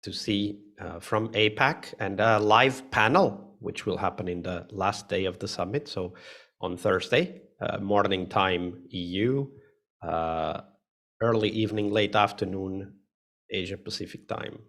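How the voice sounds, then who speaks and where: Man, session host, low gravelly voice, relaxed, many fillers, video call mic. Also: a little slow. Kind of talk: live online session hosting.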